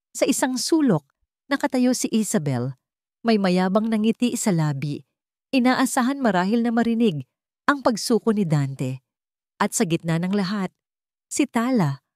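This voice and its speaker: A woman narrating a scripted dramatic story in measured, suspenseful, deliberate phrases. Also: medium pitch, a little mellow, no echo, clean studio sound.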